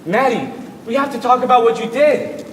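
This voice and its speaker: A man playing an upbeat emcee and eager young boyfriend on stage, announcing quickly to an audience. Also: extremely high pitch, expressive. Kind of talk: live stage comedy play. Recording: roomy hall from far off, audience noise.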